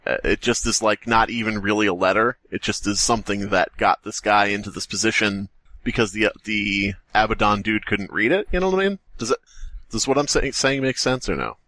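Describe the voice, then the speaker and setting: A man's voice: lowish voice, a little fast, bright, forceful tone, no echo. A man co-hosting a podcast, talking casually about a horror story in a jokey, rambling way as he thinks aloud. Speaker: podcast co-host.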